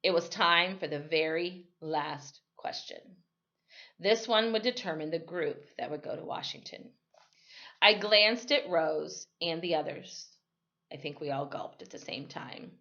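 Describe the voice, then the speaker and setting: A woman's voice: sits low, measured pace, bright, forceful tone. Woman reading a storybook aloud, slightly muffled. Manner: reads in the first person with dramatic emphasis, correcting slips. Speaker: teacher reading aloud to students. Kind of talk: expressive storybook narration.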